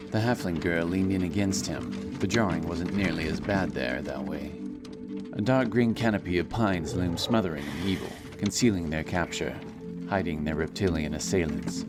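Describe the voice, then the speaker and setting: A man narrating a fantasy audiobook in a measured, solemn, dramatic storytelling style. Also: sits low. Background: background music and sound effects.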